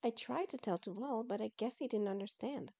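A woman voicing an excitable, earnest young female fox creature in an audio story, eager and emphatic. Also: a little high, brisk.

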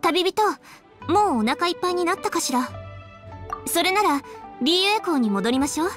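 This is a proud, refined young lady from an anime fantasy game. She speaks in a high, poised voice with measured, composed phrasing. Faint music sits behind her. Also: animated, a bit bright. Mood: considerate. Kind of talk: Japanese anime game voice acting.

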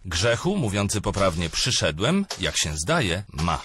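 A man's voice: low-pitched, sing-song, a little slow, fairly bright tone, a little hiss or hum. A man reading scripted humorous narration in a didactic, wry manner, with music underneath.

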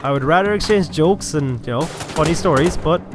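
Higher-voiced Irish man gaming. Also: brisk. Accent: Irish, from Cork. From Cork, Ireland. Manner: chatty, swears casually. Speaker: gaming channel host. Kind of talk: casual first-person shooter walkthrough commentary. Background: game music and gunfire.

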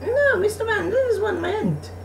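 A woman voicing a polite, demure young noblewoman in a visual novel, softly and formally. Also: extremely high pitch, sing-song, moderate pace, gravelly, reverberant, pitched above the performer's own voice, full character voice. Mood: courteous, composed. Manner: soft, courteous, formal. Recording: noisy, distant microphone.

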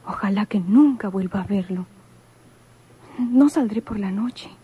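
A woman playing a fearful, secretive country woman musing in breathy tones in an old radio drama. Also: a little high, warm tone, poor audio. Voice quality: breathy, whispery. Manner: anxious, musing to herself.

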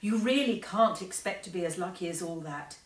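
A woman voicing a gentle, consoling mother in a children's story, soft and measured. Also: on the low side, expressive, natural voice. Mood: gently consoling. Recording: roomy echo, distant microphone.

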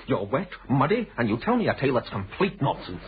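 Actor as uneasy educated male motorist, British, lively intonation, clipped, defensive, radio drama, muffled phone-like old recording. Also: medium pitch, quick pace, slight background noise, lightly acted. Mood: irritated. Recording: muffled band-limited old radio broadcast.

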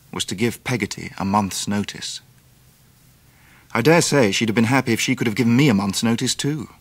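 A measured English narrator played by a man looks back on his boyhood with wry reflection. His voice is fairly low, recorded dry, in the style of a radio drama. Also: dry recording, natural voice. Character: grown man wryly recalling his boyhood. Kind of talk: scripted first-person drama narration.

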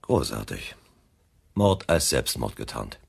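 Male chief inspector, radio whodunit: shrewd, probing, gravel-throated, with big swings in pitch. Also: sing-song, heavily acted. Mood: wry, deliberate. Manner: deliberate, questioning, wry. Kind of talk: German radio crime drama acting.

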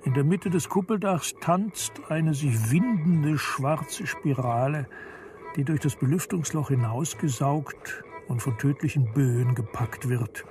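A man with a fairly high voice narrates a literary audio drama. He takes his time, very measured and deliberate, while music plays clearly underneath. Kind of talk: literary scripted narration of a radio play. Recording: studio narration over prominent music.

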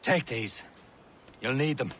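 An actor playing a commanding, folksy seafaring assassin in a video game, firm and plain-spoken. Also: male voice, mid-range voice, slow, a bit bright, slight background noise. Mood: urgent, commanding. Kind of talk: video game cutscene acting.

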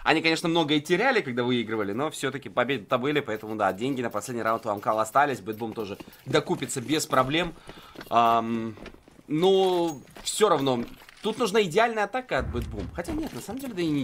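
A man commentating live on a video game match, conversational and swinging into excited play-by-play. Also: mid-range voice, fast, slight background noise. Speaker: esports commentator. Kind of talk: live game commentary in a two-caster duo.

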